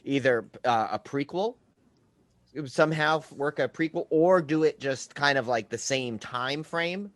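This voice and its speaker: A man hosting a podcast, chatting casually about films in halting phrases that often circle back. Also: mid-range voice, a bit bright, dry recording. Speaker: podcast host. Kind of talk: casual film chat.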